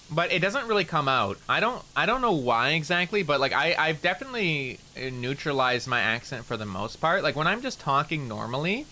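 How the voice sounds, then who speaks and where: A man's voice: fairly high pitch, bright, forceful tone, a little hiss or hum. A young man from Canada with a slight rural Canadian accent and a nasal voice, chatting casually and rambling while streaming a game, swearing often and mocking himself. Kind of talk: casual gaming stream chat.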